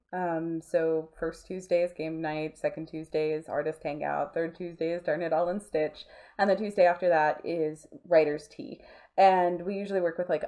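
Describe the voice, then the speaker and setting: Woman, slightly lower-pitched, interview guest, conversational, chatty, informal phrasing. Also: darkish tone. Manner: chatty, informal, frequent "like" and "you know". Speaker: interview guest, nonprofit arts space co-founder. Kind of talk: casual interview chat.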